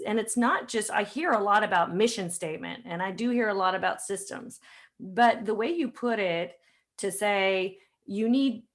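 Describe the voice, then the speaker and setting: A woman speaking as a podcast host, interviewing in a casual, conversational style. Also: mid-range voice.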